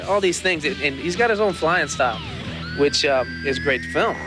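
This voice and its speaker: A man's voice: on the high side, lively intonation, moderate pace. A man being interviewed as a sports camera operator, talking in a casual way. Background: guitar music.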